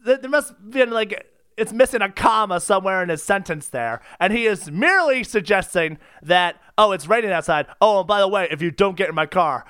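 A man's voice: high voice, lively intonation, fairly quick, a bit bright, clean tone, dry recording, clean studio sound. A man co-hosting a comedy podcast in casual banter, theatrical, exaggerated and given to mock indignation.

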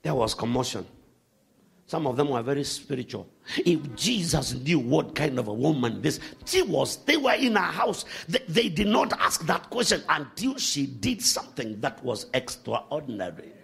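A male preacher delivering a sermon with a lively, swooping delivery. His voice sits fairly high and carries a gravelly, rough edge. He moves from loud bursts to quiet asides. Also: moderate pace. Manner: animated preaching, swinging from shouts to hushed asides.

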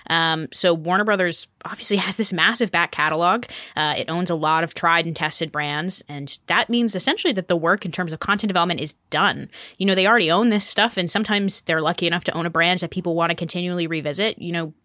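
A woman's voice: lowish voice, fast, few pauses, pushed and bright, no room sound, clean studio sound. A woman giving conversational business commentary as a podcast panelist.

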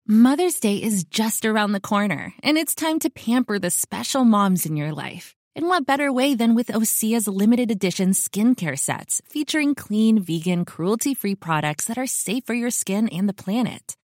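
A woman reads scripted advertising copy in a medium-pitched voice. The recording is dry, with no room sound around her. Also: expressive, moderate pace, few pauses, a little mellow, no echo. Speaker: advertisement reader. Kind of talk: scripted ad read.

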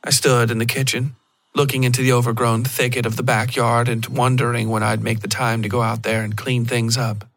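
A man's voice: medium pitch, flat delivery, a little fast, fairly bright tone, no echo, poor audio. A man reading a scripted first-person horror story as a podcast narrator.

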